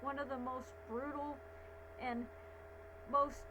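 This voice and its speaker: A woman hosting a reaction video, commenting live in halting fragments and repeating words. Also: sits high, level tone. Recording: noisy, humming home recording. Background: loud hum and played audio.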